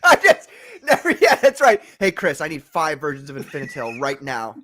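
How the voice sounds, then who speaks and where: A man's voice: a little high, huge pitch swings, normal speed, bright, forceful tone. A man teasing his co-panelists with sarcastic jokes in a casual podcast chat.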